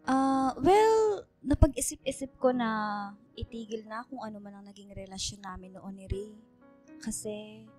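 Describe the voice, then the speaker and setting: Actress as caring, emotional young Filipina in a radio drama, high voice, light music underneath. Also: female voice, darkish tone, natural voice. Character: emotional, caring young woman confiding in friends. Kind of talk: radio drama dialogue, Taglish.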